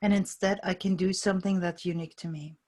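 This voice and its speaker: A woman's voice: lowish voice, steady tone, fast, darkish tone. A woman leading a tapping session, calling out tapping points and giving short phrases for someone to repeat. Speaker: EFT tapping practitioner.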